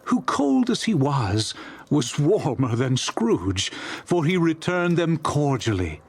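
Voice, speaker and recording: A man narrating a dramatized classic story audiobook with expressive, scripted delivery. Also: a little high, slow, slightly rough.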